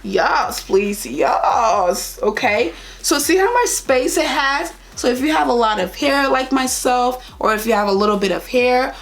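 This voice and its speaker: A young adult woman with a Liberian accent, chatting casually and directly to her viewers as a vlogger. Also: a little high. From Liberia, raised partly in Ivory Coast. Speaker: vlogger answering viewer questions. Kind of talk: casual chat to camera.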